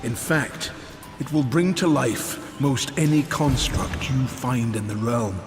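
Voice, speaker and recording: Old craftsman elder played by a man, slow and solemn, reverberant space, game music behind. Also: medium pitch, lightly acted. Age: older. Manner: slow, solemn, measured speech. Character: old male Maker, weary and wise craftsman. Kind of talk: video game cutscene acting.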